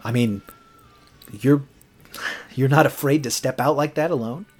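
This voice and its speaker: A man's voice: mid-range voice, measured pace, darkish tone, faint music behind, natural voice. A young man playing a nervous, eager rookie late-night rock DJ with an awkward, jokey manner in a horror audio drama. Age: young adult.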